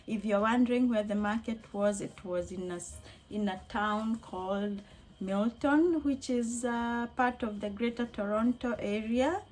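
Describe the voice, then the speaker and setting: Slightly hoarse woman chatting casually. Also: a little high, a little slow, a little mellow. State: slightly hoarse from being unwell. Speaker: crochet hobbyist and craft vendor vlogger. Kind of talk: casual vlog chat recapping an event.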